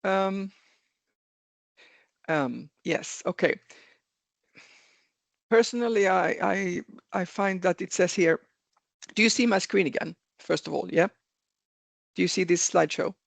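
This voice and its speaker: A man speaks over a video call with a somewhat high, gravelly voice, and the sound is dry and close. He explains things earnestly, repeats key words for emphasis and often stops to correct himself mid-sentence. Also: unhurried, pauses often, no echo. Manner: repetitive, earnest, self-correcting. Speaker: webinar speaker on careers. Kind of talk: explanatory webinar talk.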